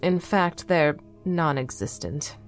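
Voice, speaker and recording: An actress playing a weary, exasperated android nun giving a broadcast in a dry, articulate, wry manner in an audio drama. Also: female voice, low-pitched, takes it slowly, faint music behind. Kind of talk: scripted audio drama monologue.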